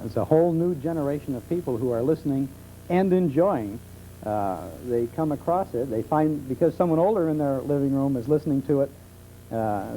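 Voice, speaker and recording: A middle-aged man being interviewed as a talk show guest, explaining things conversationally with hesitant pauses. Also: medium pitch, dark tone. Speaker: talk show guest, old-time radio enthusiast. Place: television studio. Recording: muffled 1970s television tape with hum.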